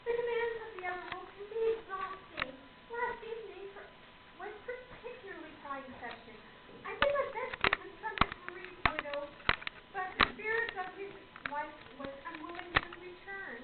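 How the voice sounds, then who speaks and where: Young female séance medium for radio play, played by an actress: very high, solemn, theatrical, heard through heavy noise. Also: animated, normal speed. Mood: confiding, weary. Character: confident theatrical young woman spirit medium. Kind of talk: amateur radio play acting. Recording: very noisy, tinny, echoey distant recording.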